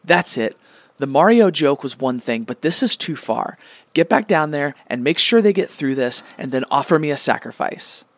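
A man's voice: fairly high pitch, animated, moderate pace, dry recording. A man playing a petulant, bossy star god with an indignant, peremptory manner in a comedic radio play.

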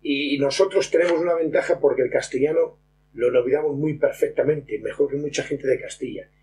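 A man's voice: fairly high pitch, a little fast, darkish tone. Middle-aged man, background hum. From San Martín de Trevejo, Extremadura, Spain. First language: Fala. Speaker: interviewee. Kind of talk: informal interview, explaining. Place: rustic stone-walled room, indoors. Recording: faint room hum and noise.

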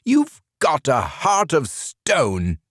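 A tearful, lovesick sleep demon, as a man puts him on, wailing in a high, quavering voice that swings up and down. He sounds desperate and eager, and the recording is dry and close. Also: wildly swooping pitch, drawn-out words, a bit bright, dry recording, full character voice. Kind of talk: audiobook character voice.

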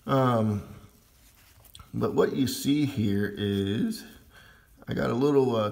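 Deep, gravelly man explaining gear casually. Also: darkish tone. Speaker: amateur radio hobbyist demonstrating gear. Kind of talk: casual unscripted hands-on how-to demonstration.

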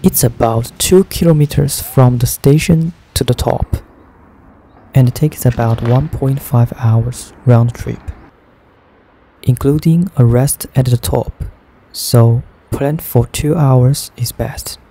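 A man narrates a travel vlog in a slow, unhurried voice-over. His tone is deep, dark and mellow, with a gravelly edge. He speaks careful, measured learner's English over soft background music. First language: Japanese. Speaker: travel vlogger.

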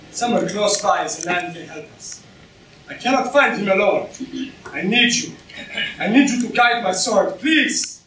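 Man acting passionate swordsman, stage drama, earnest, very high for a man, echoey far-off recording. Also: bright, forceful tone, slight background noise. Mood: pleading. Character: passionate male swordsman seeking to avenge his father. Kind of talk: staged reading before an audience. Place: lecture hall stage, distant camera.

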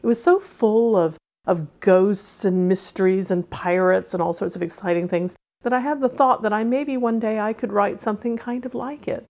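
A woman's voice: medium pitch, moderate pace, few pauses, dark tone. A woman author with a mid-Atlantic accent, from Wales and Arizona, speaking about and reading her fiction. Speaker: author reading and discussing own novel.

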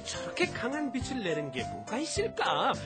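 A woman's voice: on the high side, wildly swooping pitch, normal speed, over loud music. A woman voicing a friendly, questioning puppet bird who presents a children's show. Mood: curious, playful. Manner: questioning, teacherly.

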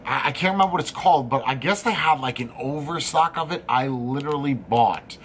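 Man, thirties, animated candy-tasting chat, mid-pitched voice, faint hum behind. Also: fairly quick, poor audio. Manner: animated, swears casually, chatty storytelling. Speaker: host. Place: studio room with equipment racks.

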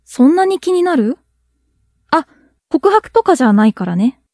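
A woman's voice: sits high, big pitch swings, moderate pace, dark tone, no room sound, studio quality. A young woman playing a friendly, easily flustered schoolgirl with a crush in a radio drama. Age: young adult. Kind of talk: Japanese student radio drama acting.

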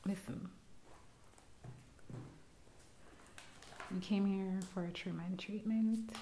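A woman in her thirties with a Jamaican accent, voicing a rude, impatient barber in a soft-spoken ASMR roleplay, recorded close to a binaural mic in a small room. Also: mid-range voice, steady tone, moderate pace, slight background noise, natural voice, rough recording. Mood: curt. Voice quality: soft-spoken, often whispering. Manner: curt and scolding. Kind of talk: ASMR personal attention roleplay. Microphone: close binaural mic, leaning toward the camera.

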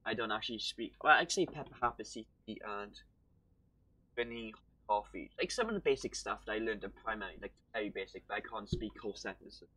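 A man's voice: lowish voice, a little fast, sharp tone, slightly rough, low-quality recording. A young man with a Welsh accent, rambling casually to his chat while streaming a game from home on a headset. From Wales. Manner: rambling, chatty, talks to chat while playing. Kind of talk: casual live-stream commentary. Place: home room, indoors.

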